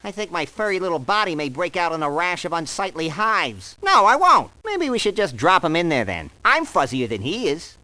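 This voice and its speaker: Small furry male cartoon sidekick, manic and mischievous, hugely swooping pitch, dry close studio sound, for an adventure game. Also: very animated, normal speed, hardly any pauses, sharp tone, no echo, full character voice. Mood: excitable. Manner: wisecracking, mischievous, exaggerated delivery. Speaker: video game voice actor.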